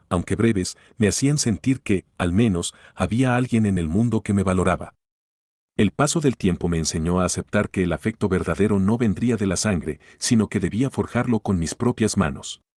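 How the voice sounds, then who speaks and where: A man narrating a first-person story in a steady, measured way. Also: fairly low pitch, husky, no room sound, studio quality.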